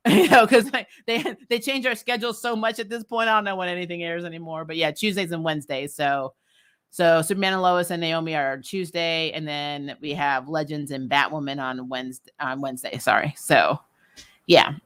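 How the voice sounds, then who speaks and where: Casual female recap host, very bright forward voice, animated and chatty delivery. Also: mid-range voice. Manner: animated, laughs often while talking. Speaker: host of a TV show recap series. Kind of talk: casual, chatty episode review.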